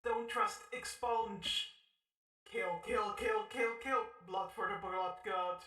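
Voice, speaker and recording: A woman's voice: medium pitch, takes it slowly, pushed and bright. Woman doing creepy bloodthirsty head voices for an rpg, short suspicious chants, echoey, faint music behind. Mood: bloodthirsty, chanting. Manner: short chanted repetitive phrases.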